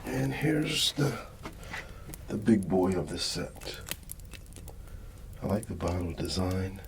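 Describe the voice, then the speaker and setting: A middle-aged man hosting an ASMR video, rambling casually and softly with a hesitant delivery. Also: deep voice, expressive, takes it slowly, background noise. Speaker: ASMR channel host.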